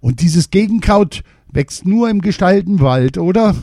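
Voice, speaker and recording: Guy doing a young maid, high and eager, loyal. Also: animated, unhurried, warm tone, dry recording, clean studio sound, full character voice. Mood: urgent.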